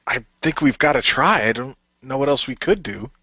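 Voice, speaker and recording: A man's voice: medium pitch, expressive, normal speed, pushed and bright, no echo, muffled recording. A man voicing an earnest, nervous but determined hero in an amateur radio play, hesitant and repeating himself. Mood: determined, frustrated.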